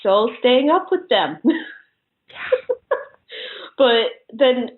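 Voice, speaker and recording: A woman's voice: a little high, expressive, very slow. A woman chatting casually as an interview guest, telling personal anecdotes and often giggling as she talks. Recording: muffled phone-like call audio.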